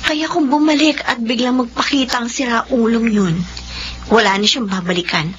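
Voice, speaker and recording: Young bride female actor, dutiful but hurt, higher register, romantic radio drama, dull sound with light background noise. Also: normal speed, a bit bright, lightly acted. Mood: resolute, hurt. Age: young adult. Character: young pregnant bride, dutiful yet wounded.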